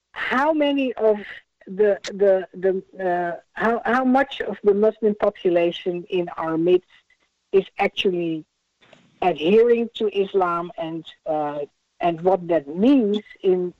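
A speaker in a radio interview, talking in a measured, halting way with many restarts. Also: medium pitch, no echo. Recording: muffled lo-fi radio audio.

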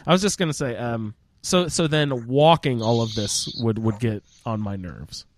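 A man's voice: medium pitch, moderate pace, a little hiss or hum. A man hosting a casual, informal roundtable discussion about a video game. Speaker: podcast host.

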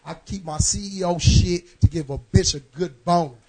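A hoarse man, a radio host, giving loose, profane shout-outs and plugging his show to friends. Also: high-pitched, normal speed, crowd noise. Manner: boisterous, profane, rhyming bits. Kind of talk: loose hype shout-out talk.

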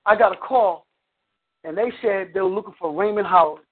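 A man's voice: high-pitched, a little fast. A man, the guest preacher, telling a story in his sermon and breaking it up with "come on, amen" as he goes. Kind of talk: preaching by phone conference. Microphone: phone. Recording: tinny phone line.

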